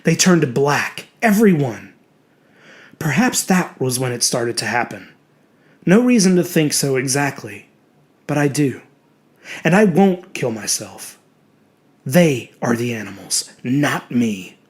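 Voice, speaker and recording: A man's voice: medium pitch, big pitch swings, unhurried, long pauses. A man voicing a petulant, self-righteous small-town reverend with a beast inside, preaching his own excuses in an indignant, brooding way for a horror audio drama. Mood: brooding, defiant. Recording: music behind the voice.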